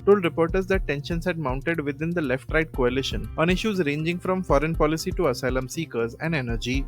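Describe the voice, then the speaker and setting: Indian man reading news over music. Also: medium pitch. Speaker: news presenter.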